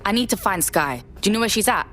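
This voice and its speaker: A woman's voice: mid-range voice, animated, fast talker, fairly bright tone, slight background noise, natural voice. An actress with a British accent playing a blunt, streetwise, slangy woman operative in a video game. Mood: direct, wary. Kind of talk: cinematic video game acting.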